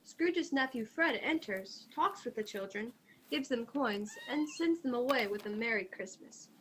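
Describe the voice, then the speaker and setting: A woman's voice: a little high, lively intonation, measured pace, heavy background noise. A woman reading scripted narration for an amateur radio play, recorded at home over a video call.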